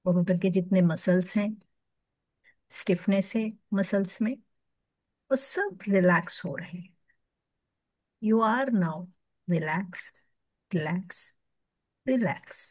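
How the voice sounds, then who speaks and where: Female meditation guide, Indian accent, very slow and soothing, dry close sound. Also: mid-range voice, no echo, rough recording. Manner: soothing, hushed at phrase ends, with long pauses.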